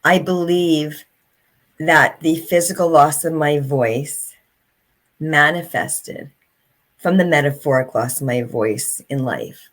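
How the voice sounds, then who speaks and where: A woman's voice: deep voice, slow. A middle-aged woman, an author and speaker, telling her story as an interview guest in an earnest, reflective way.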